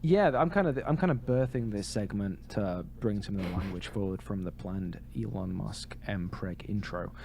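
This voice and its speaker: A man's voice: on the low side, normal speed, vocal fry, hardly any pauses, a loud crowd. A man co-hosting a podcast in casual chat, with a jokey, wry way of talking. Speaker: podcast co-host.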